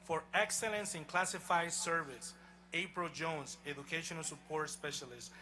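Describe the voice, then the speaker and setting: A man from Honduras with a Honduran Spanish accent, a college president giving a measured, emphatic commencement speech at an outdoor stadium. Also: fairly high pitch. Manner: measured, emphatic address to a crowd.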